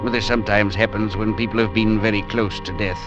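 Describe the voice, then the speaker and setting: An actor playing a composed, probing physician in old radio drama style, measured, formal and analytical. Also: male voice, fairly low pitch, fairly even intonation, lo-fi sound, over loud music, lightly acted. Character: composed, probing male physician, husband and narrator. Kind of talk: 1940s-50s radio drama narration and dialogue.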